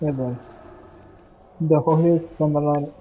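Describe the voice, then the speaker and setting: A man's voice: on the high side, fairly even intonation, unhurried, mellow, lo-fi sound, slight background noise. A man chatting casually while streaming a game, rambling and dropping "you know?" into most sentences. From Romania. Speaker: gaming streamer. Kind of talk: casual chat while gaming.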